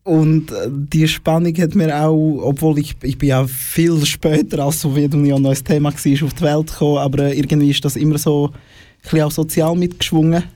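A man's voice: fairly high pitch, steady tone, fairly quick, dark tone, no echo. A young man from the former Eastern Bloc, a guest in a casual studio conversation, hesitant and full of fillers, laughing often.

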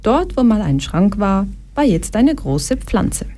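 Young woman talking slow, earnest personal story, warm low-key tone. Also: very animated. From Brazil. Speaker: speaker giving a personal talk. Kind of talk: personal talk to an audience, reading from a diary.

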